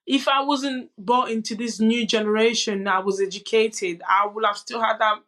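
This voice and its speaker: A young adult woman whose first language is French, telling her story as a podcast guest in an earnest, halting way with frequent restarts. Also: on the high side, fairly even intonation, fairly bright tone. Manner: earnest, many restarts and "you know" asides. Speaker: guest, boxer. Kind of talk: candid conversational storytelling.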